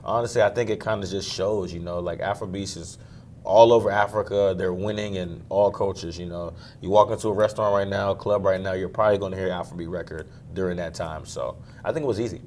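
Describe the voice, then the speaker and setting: A young man from Maryland, a singer, talking casually in an interview, relaxed and quick to laugh. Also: sits low, slight background noise. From Maryland, USA. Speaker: guest singer.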